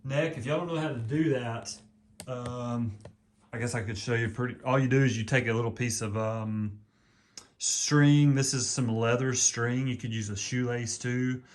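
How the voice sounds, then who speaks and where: Folksy man with a deep voice. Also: fairly even intonation, moderate pace. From East Texas. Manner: rambling, folksy, lots of ums. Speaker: vlogger. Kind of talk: casual home how-to video.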